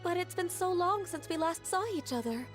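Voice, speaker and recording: A woman voicing a gentle, modest young half-immortal in an anime video game, speaking politely and deferentially. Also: very high-pitched, lively intonation, normal speed, soft background music. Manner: polite, soft-mannered, deferential.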